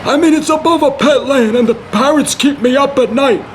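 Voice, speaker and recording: A male actor plays a good-hearted construction worker with a New York accent and a strikingly high voice for a man. He rambles earnestly and stays unfazed, as in an audio play. Light street noise sits behind him. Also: moderate pace. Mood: upbeat. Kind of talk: scripted audio play dialogue.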